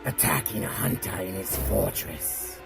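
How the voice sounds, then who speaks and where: An actor playing a cold, menacing male vampire lord in a radio drama, speaking in a slow, flat, threatening way with a gravelly voice. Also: sits low, over music, exaggerated voice.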